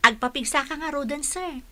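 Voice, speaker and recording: A woman acting as a dutiful young caregiver in an Ilocano radio drama, polite and gently advising. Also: sits high, heavily acted.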